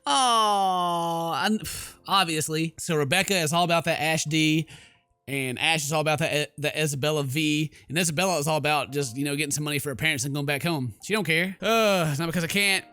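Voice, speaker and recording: A man's voice: fairly high pitch, brisk, pushed and bright, clean tone, no room sound. A man giving casual, reactive gameplay commentary in a mocking, jokey manner. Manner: mocking, jokey, mimics characters. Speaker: gaming video host.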